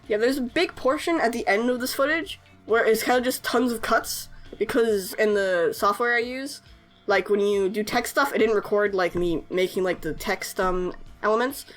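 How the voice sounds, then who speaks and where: A woman's voice: on the high side, normal speed, faint music behind. Giggly, hesitant young woman. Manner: hesitant, lots of fillers and self-conscious giggles. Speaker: artist and animator YouTuber. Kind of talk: casual voice-over over editing footage.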